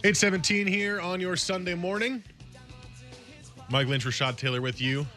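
Bright-voiced male sports radio host. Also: high-pitched, faint music behind. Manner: quick, conversational, trailing off into filler words. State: slightly raspy from recent illness. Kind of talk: casual sports radio chat. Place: radio studio.

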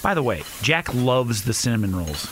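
A man's voice: mid-range voice, sing-song, normal speed, background noise, lightly acted. A man playing an obliging, easygoing diner owner in a radio play, agreeable and a little hesitant.

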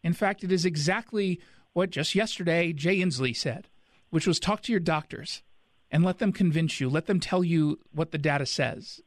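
A man's voice: a little high, no room sound. A man hosting a talk radio show, delivering an indignant, sarcastic monologue.

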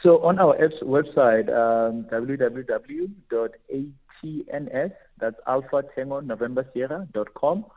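A man's voice: medium pitch, measured pace, few pauses, phone-quality sound. A South African man giving explanatory interview answers as an air traffic controller, often saying "you know". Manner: frequent "you know" as a verbal habit.

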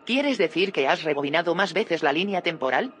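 Actress playing an earnest, probing sci-fi heroine. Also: female voice, fairly low pitch, expressive, fairly quick, a little hiss or hum, close to an everyday voice. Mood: questioning. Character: earnest, probing woman confronting a colleague. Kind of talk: audio fiction drama acting.

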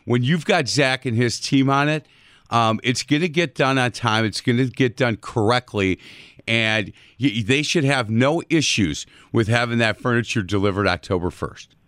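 A man's voice: mid-range voice, normal speed, a bit bright, dry recording. Chatty radio host man, dry studio sound. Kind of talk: casual radio talk.

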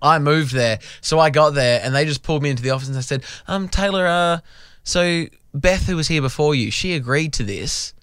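Self-mocking Australian man, fairly high voice. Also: fairly quick, smooth, no echo, studio quality. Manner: self-mocking storyteller, animated. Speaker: radio show co-host. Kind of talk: casual radio banter. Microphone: studio microphone.

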